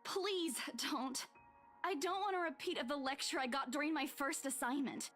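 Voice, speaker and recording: An actress playing an anxious, apologetic young saleswoman who speaks hesitantly and haltingly in a horror visual novel. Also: high-pitched, a bit bright, soft background music.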